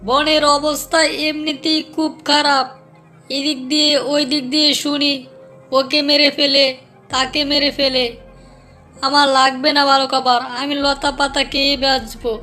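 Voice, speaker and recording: A woman's voice: high-pitched, flat delivery, normal speed, sharp tone, soft background music. A woman voicing an anxious, nagging mother fox, a cautious wife in a cartoon. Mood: worried scolding. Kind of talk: animated storybook cartoon dialogue.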